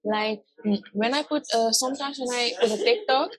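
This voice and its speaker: A woman's voice: a little high, normal speed, a crowd in the background. A woman in her twenties from Suriname with a Surinamese Dutch accent, chatting openly as a vlogger and filling her talk with "like". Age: 20s. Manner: chatty, open, often says "like". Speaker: vlogger co-host. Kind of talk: casual chat.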